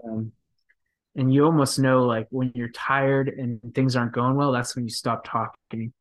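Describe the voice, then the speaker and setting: A man in his thirties, a professional midfielder, talking softly and thoughtfully in a casual interview, with many fillers and restarts. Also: medium pitch, level tone, measured pace.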